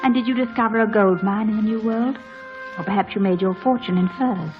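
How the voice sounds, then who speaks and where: A woman's voice: medium pitch, brisk, lightly acted. A woman playing a headstrong, genteel young lady in an old-fashioned radio drama style. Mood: pert, curious. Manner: genteel, pert, forthright. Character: headstrong, fascinated young gentlewoman. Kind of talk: 1940s radio drama acting. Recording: dull old radio broadcast, music behind.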